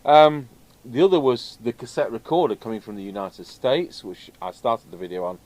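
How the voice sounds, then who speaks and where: A man's voice: mid-range voice, moderate pace, a little mellow, rough recording. A man chatting casually and ramblingly as he presents radio gear in an unscripted video. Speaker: video host and radio technician. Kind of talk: casual unscripted product chat. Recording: clear background noise.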